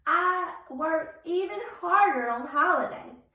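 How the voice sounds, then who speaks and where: A woman's voice: very high voice, expressive, takes it slowly, sharp tone, highs cut off, pitched above the performer's own voice, heavily acted. A woman voicing an overworked, exasperated red crayon from a storybook, complaining emphatically and wearily. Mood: weary, exasperated. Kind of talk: storybook read-aloud. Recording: very echoey room, distant from mic.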